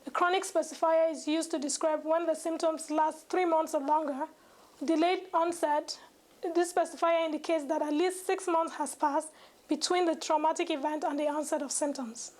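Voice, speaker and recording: Young woman, really high voice, reading out a lesson kinda formal n careful, stumbles now and then. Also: steady tone, normal speed, darkish tone. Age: young adult. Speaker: medical student presenter. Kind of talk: scripted educational presentation.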